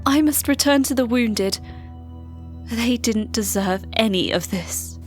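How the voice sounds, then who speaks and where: A woman playing a grieving, gentle healer in a fantasy audio drama, earnest and emotional in formal speech. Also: fairly high pitch, slow, faint music behind. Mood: anguished, questioning. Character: grieving female healer warrior, gentle, questioning, anguished.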